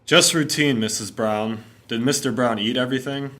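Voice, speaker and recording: Man acting a brisk 1950s radio police sergeant. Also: mid-range voice, sharp tone, close to an everyday voice. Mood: probing, matter-of-fact. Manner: clipped, matter-of-fact questioning.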